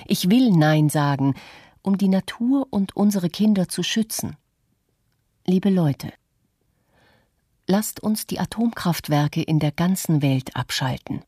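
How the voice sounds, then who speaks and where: A woman reading the part of an earnest, resolute citizen in a scripted radio documentary, with a husky voice. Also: lowish voice, expressive, slow, pauses often, warm tone, no room sound, clean studio sound, full character voice. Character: earnest, resolute citizen critical of nuclear power. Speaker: reader of a witness statement.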